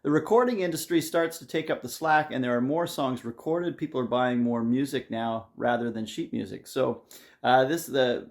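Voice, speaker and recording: A man hosting a history video, explaining things informally in a somewhat halting way. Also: medium pitch, vocal fry. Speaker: host of a music history video series.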